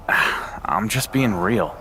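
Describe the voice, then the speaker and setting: A man's voice: lowish voice, lively intonation, extremely slow, bright, forceful tone, slight background noise, exaggerated voice. A man playing a battered, self-doubting wrestling champion in a video game cutscene. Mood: doubtful, discouraged.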